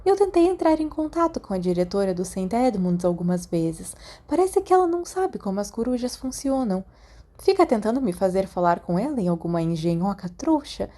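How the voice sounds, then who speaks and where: A woman's voice: medium pitch, very animated, fairly quick, dark tone, natural voice. A woman voicing a kindly, caring female school nurse in a storybook, motherly and attentive, with a Brazilian accent.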